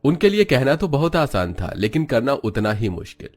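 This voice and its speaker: A man reading scripted narration for an animated family story. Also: mid-range voice, normal speed, faint music behind.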